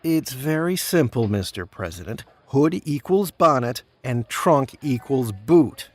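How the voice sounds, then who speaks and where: A long-suffering chief of staff played by a man in a comedy audio drama. He talks slowly and formally, with a lively, swooping pitch that shows his exasperation. The recording is dry and close. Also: dry recording. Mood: patient explaining.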